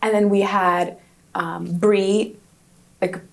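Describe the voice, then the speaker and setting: A woman's voice: mid-range voice, steady tone, takes it slowly, gravelly. A woman in her twenties, a student guest in an interview, a native Hungarian speaker answering in a chatty, upbeat way with frequent restarts. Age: 20s.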